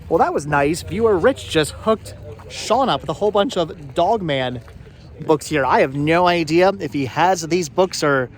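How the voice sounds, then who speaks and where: A middle-aged man hosting a casual thrifting vlog, chatting haltingly and often repeating his words. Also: a little high, animated. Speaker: vlog host. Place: out and about at flea market.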